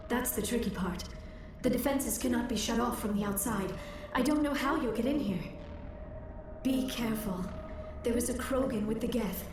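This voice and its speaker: A woman's voice: on the high side, lightly acted. A woman playing an anxious, earnest young alien archaeologist in a video game, speaking in precise, formal phrases. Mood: worried, explaining. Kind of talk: video game cutscene voice acting. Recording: echoey, distant, faint background noise.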